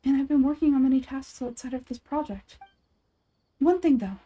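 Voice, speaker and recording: Woman playing a soft-toned earnest scholar's assistant. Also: high voice, normal speed, lightly acted.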